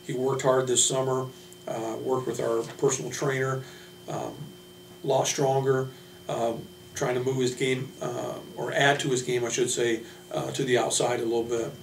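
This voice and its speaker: A man speaking off the cuff as a college basketball coach, introducing his players in a halting, plain-spoken way. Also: mid-range voice, level tone, gravelly. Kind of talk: unscripted team roster introduction. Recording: roomy, distant mic, noisy hum.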